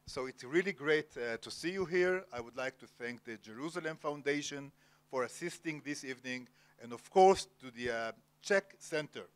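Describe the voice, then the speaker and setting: Moderatore israeliano, uomo, formale ed esitante, registro medio. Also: male voice, medium pitch. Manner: formal, many filler pauses. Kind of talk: onstage literary interview. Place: cultural center event hall, Jerusalem.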